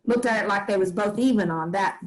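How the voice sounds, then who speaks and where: A woman's voice: mid-range voice, fairly quick, lightly acted, poor audio. A woman playing a folksy old grandmother who tells family stories in a drawling, homespun way. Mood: fond. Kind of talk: staged play reading.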